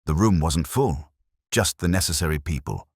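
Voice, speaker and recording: Very deep, husky male mystery narrator. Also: animated, no echo, clean studio sound. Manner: measured, dramatic, with short pauses. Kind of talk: scripted mystery audiobook narration.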